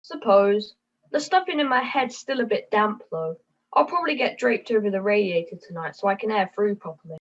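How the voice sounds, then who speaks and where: A woman's voice: medium pitch, expressive, brisk, lightly acted. A young woman voicing a put-upon, droll fluffy puppet in an amateur play over a video call. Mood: droll grumbling. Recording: muffled video call audio.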